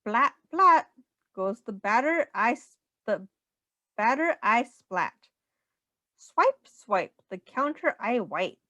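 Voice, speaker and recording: Lady teacher reading a kids book, super slow and sing-songy, voice goes way up and down. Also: a little high, very animated, sharp tone, no room sound. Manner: sing-song, simple questions and explanations for young children. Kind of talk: children's read-aloud.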